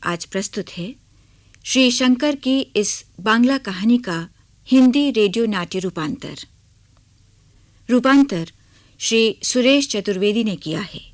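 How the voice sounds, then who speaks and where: A woman's voice: fairly high pitch, expressive, slow, long pauses, dry recording. A woman with an Indian accent formally announcing a radio play from a script.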